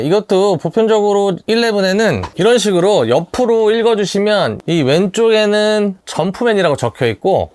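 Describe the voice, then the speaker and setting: A man's voice: a little high, no room sound. A man giving a polite, detailed sneaker review in casual unboxing style. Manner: polite, detailed product explanation.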